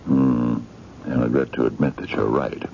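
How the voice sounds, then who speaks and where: Mysterious courtly eccentric, radio drama, gravelly and formal, on a dull, noisy old recording. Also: male voice, very deep voice, little pitch movement, moderate pace, dark tone. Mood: gracious. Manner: formal, hesitant, insistent, courteous. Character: mysterious, eccentric chess-playing gentleman, coaxing and courtly.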